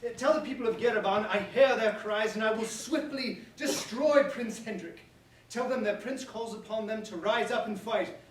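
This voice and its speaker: A man's voice: high voice, normal speed, lightly acted. A man playing a grandiose, lovestruck young prince in a staged verse comedy, with formal theatrical declamation. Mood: commanding, excited. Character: pompous young prince, grandiose, softened by love. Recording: very echoey room, distant mic, faint noise.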